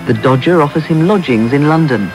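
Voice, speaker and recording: A male narrator with a fairly high voice reads a scripted story introduction for radio. Music plays underneath, and the sound is taped from a broadcast. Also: lively intonation, brisk, poor audio. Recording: medium-wave radio broadcast taped off air.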